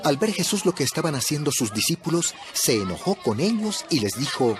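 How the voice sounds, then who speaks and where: A man narrating a dramatized Bible story in a scripted, measured storytelling manner. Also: a little high, sing-song, heavy background noise.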